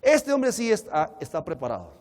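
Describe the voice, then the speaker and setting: Male preacher, Colombian accent, slightly high register, conversational teaching delivery with rhetorical questions, live in a reverberant hall. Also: huge pitch swings, normal speed, a little mellow. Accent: Colombian Spanish. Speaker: preacher and conference speaker. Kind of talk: sermon-like seminar teaching to an audience. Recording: echoey hall with long reverb.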